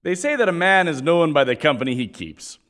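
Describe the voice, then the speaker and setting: A man's voice: a little high, very animated, a little fast, a bit bright. A man playing a polished, folksy sponsor's announcer in a 1940s-style radio commercial. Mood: enthusiastic.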